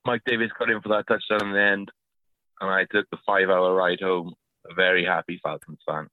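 A man's voice: deep voice, flat delivery, brisk, no room sound. A man from the UK leaving an eager, rambling voicemail as a caller. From United Kingdom. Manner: rambling with many restarts, eager. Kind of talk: voicemail monologue. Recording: tinny phone line.

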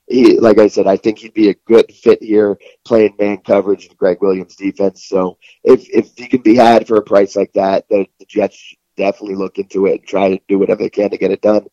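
Dull lo-fi sound, mellow guy breaking down sports as a guest, kinda halting. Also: fairly low pitch, little pitch movement, dry recording. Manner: halting, repeats words. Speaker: guest football reporter. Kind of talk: casual sports analysis. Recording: muffled, lo-fi call sound.

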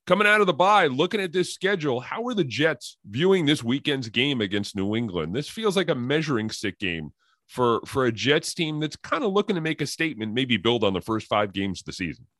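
A man's voice: medium pitch, sing-song, fairly quick, a bit bright, no echo, studio quality. A man hosting a sports podcast, delivering prepared introductions and questions to his guest. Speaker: podcast host.

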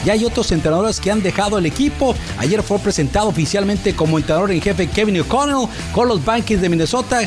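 A man presenting scripted sports news in a polished broadcast manner. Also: high-pitched. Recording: music bed under the voice.

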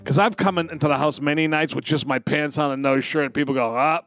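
Higher-pitched male podcast storyteller, emphatic. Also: fast, no room sound, faint music behind. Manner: profane, emphatic, animated storyteller. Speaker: podcast co-host. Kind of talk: casual comic storytelling and banter.

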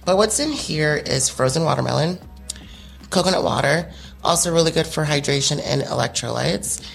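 A man's voice: on the high side, lively intonation, moderate pace, gravelly, a bit bright, faint music behind. A man guest co-hosting a casual podcast chat, explaining a drink recipe in a chatty, upbeat way. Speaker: guest co-host and drink maker. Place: podcast table in a home studio.

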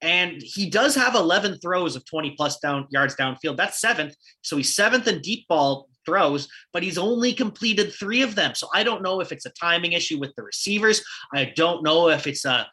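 A Canadian man co-hosts a relaxed sports chat, his voice husky yet bright and sitting a bit high. He leans on statistics and ends his points with open questions. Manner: stat-heavy, questioning, quick asides. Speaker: podcast co-host. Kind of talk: casual sports analysis chat.

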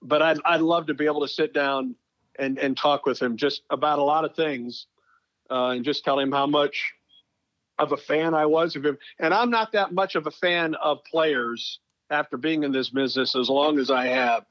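Middle-aged male broadcaster, fairly high voice, conversational storyteller, emphatic, dry but slightly dull recording. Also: steady tone, normal speed, no room sound. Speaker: sports broadcaster, interview guest.

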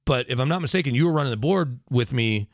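An American male broadcaster talks as a podcast guest in a medium-pitched voice. He is chatty and emphatic, piling up lists and side remarks. The recording is very dry. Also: expressive, fast, no echo. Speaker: guest, sports radio broadcaster. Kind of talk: casual podcast chat.

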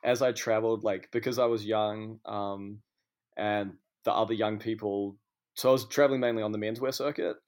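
Low, even-pitched New Zealand man. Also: normal speed. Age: 30s. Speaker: interview guest. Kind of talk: casual conversational storytelling.

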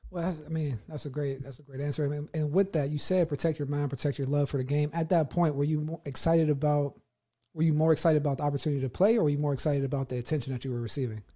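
A man's voice: on the high side, steady tone, quick pace, dark tone. A male podcast host asking casual, rambling interview questions full of fillers.

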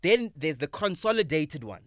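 A man in his thirties, a comedian guest in a podcast studio, talking casually, emphatic and animated, swearing freely. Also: fairly high pitch, normal speed, dry recording. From South Africa. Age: 30s. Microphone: studio microphone.

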